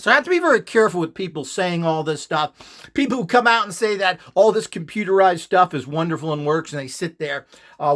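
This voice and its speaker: A man's voice: a little high, fairly bright tone. A middle-aged or older man giving an unscripted, opinionated talk as a presenter, blunt, scornful and emphatic in delivery. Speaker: presenter of instructional videos.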